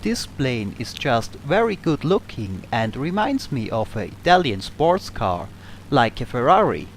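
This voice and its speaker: A young man from Tyrol with an Austrian accent, calmly answering viewer questions as a gaming channel host. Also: medium pitch, unhurried, a little hiss or hum, low-quality recording. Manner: calm, explanatory. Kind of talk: Q&A over flight game footage.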